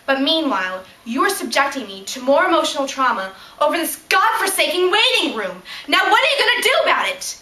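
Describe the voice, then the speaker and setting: A woman's voice: high voice, big pitch swings, fairly quick, hardly any pauses, bright, forceful tone, exaggerated voice. A sixteen-year-old girl playing a fussy, exasperated germophobe patient in a comic stage monologue. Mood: indignant, disgusted. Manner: exasperated, emphatic. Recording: echoey room, distant mic, faint noise.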